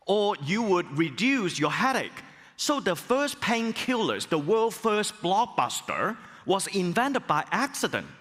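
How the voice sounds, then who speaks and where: Animated middle-aged man lecturing in a hall. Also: high-pitched. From Hong Kong. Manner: animated, rhetorical questions and exclamations. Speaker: business school professor. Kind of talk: conference keynote talk. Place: large conference hall. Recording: long hall reverb.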